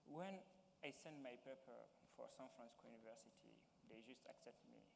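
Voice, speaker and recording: A young man from Mali with a Malian accent, giving halting personal testimony as a town hall panelist and searching for words in English. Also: mid-range voice, gravelly. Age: young adult. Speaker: youth panelist. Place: large hall. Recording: reverberant hall with faint noise.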